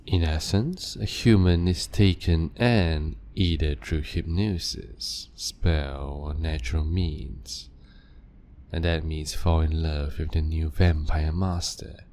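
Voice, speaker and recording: A man voicing a calm, sadistic male vampire doctor who talks slowly and silkily, with soft laughs. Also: rumbling low, exaggerated voice. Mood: toying. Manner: slow, silky, toying, with soft laughs. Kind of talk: audio drama roleplay.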